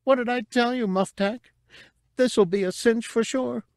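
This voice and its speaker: A man voicing a small, excitable female rodent-like thief in an eager, squeaky manner. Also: a little slow, no echo, clean studio sound. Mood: eager, urgent.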